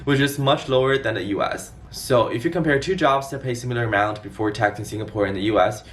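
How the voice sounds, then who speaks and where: Young male vlogger, twenties, fast and organized explainer read, recorded at a distance from the mic. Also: mid-range voice, a bit bright. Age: 20s. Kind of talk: scripted explainer vlog to camera.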